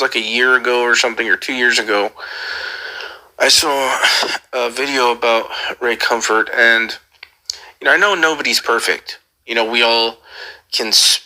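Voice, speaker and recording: Man, caller, casual and earnest, sharp bright voice, hesitant phrasing. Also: mid-range voice, poor audio. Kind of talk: casual phone chat, earnest questioning.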